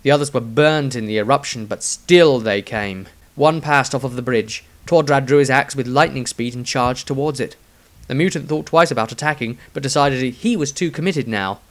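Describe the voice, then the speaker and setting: A man's voice: mid-range voice, fairly bright tone. A man reading scripted fantasy narration as a storyteller, with a theatrical, emphatic delivery. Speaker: author-narrator.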